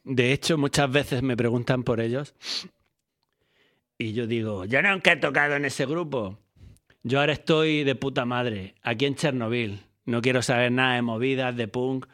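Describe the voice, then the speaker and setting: A man from Jaén, a former punk band member talking in a relaxed, rambling, slangy way. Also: medium pitch, moderate pace. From Jaén, Spain. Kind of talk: laid-back interview monologue.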